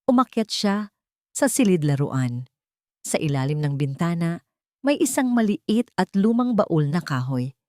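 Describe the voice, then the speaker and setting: Low-pitched Filipino woman narrating a drama. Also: big pitch swings, measured pace, darkish tone, no echo, clean studio sound. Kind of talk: scripted dramatic story narration.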